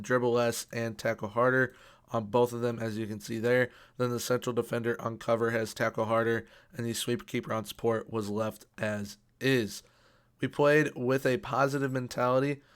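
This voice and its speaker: A man's voice: mid-range voice, level tone, moderate pace, clean tone. A young man giving casual video game commentary with an upbeat, repetitive emphasis, his voice worn from recently losing it. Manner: upbeat, repeats words for emphasis. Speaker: video game tactics youtuber.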